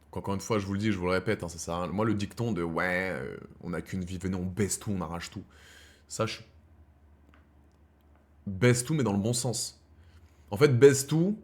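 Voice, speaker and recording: A man's voice: sits low, normal speed, pauses often. A man in his thirties chatting casually to his live-stream viewers, slangy and rambling, calling them his brothers. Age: 30s. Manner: swings from heated rants to quiet musing. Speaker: streamer host.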